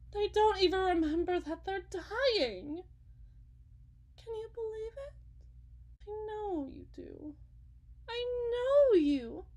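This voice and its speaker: Woman playing a female prophet and healer, very high-pitched, slow, sweetly coaxing, fervent, theatrical, unsettling, roleplay monologue. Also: full character voice. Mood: wry, hurt, then fervent, pleading. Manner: theatrical, pleading, sing-song preaching with drawn-out words and sudden hushes. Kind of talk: dramatic ASMR roleplay monologue.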